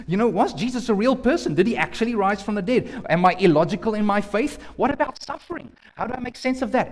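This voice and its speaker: A man preaching a sermon as pastor, earnest, often restarting and repeating words. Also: high voice. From South Africa. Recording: long hall reverb.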